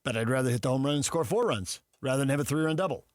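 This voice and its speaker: A man's voice: medium pitch, racing, no room sound, clean studio sound. A man co-hosting a sports radio show, trading arguments in playful, unscripted banter. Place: radio studio.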